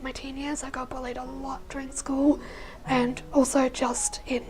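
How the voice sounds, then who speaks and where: A young woman with a husky, hoarse voice, casually telling her personal story. She rambles with lots of fillers and often drops to a soft, breathy near-whisper. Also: high voice, little pitch movement, normal speed. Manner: rambling, with many fillers like "like" and "you know". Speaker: vlogger sharing her life story. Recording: music playing underneath the voice.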